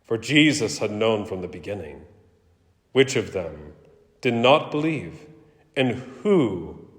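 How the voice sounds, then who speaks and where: A man preaching and reading scripture in a solemn, emphatic, theatrical way, with deliberate pauses. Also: fairly low pitch, sing-song. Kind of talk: dramatic scripture reading and sermon. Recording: echoey hall with long reverb.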